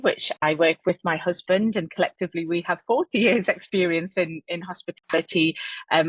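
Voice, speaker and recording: Low-voiced woman hosting a webinar. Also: dry recording, highs cut off. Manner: many fillers, repeated words, friendly. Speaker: webinar co-host, hospitality marketing consultant. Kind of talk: webinar presentation.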